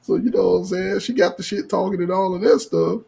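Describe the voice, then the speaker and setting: A man with a husky voice telling a casual podcast story in slangy, profane talk, acting out the dialogue. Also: high-pitched, steady tone, brisk, darkish tone. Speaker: podcast co-host.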